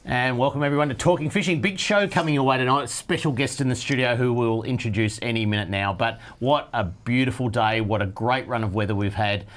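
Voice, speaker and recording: Brief: chatty middle-aged australian host, mid pitch, easygoing banter on a studio set. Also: male voice, fairly quick, few pauses, a bit bright. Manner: chatty, jokey, cheerful. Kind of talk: casual studio chat show. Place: studio set with a bar backdrop.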